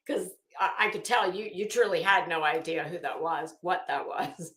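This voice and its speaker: A woman's voice: fairly low pitch, fairly bright tone. A woman hosting a casual livestream chat, talking to viewers in a gossipy way with chuckling, coy asides. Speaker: livestream host. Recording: roomy, echoey room sound.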